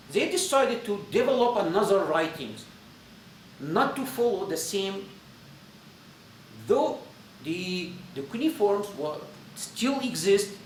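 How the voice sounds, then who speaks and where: Male lecturer, Syrian accent, native Arabic speaker, halting informal delivery; roomy echo, faint noise. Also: sits high, distant mic. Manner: halting, repetitive phrasing with searching pauses.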